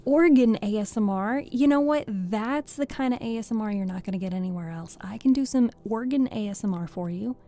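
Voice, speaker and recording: Woman, casual live-stream host, warm soft tone, giggly and friendly with chat. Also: mid-range voice, brisk, hardly any pauses. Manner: chatty, giggly, thanks viewers by name. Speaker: streamer and VTuber reading host.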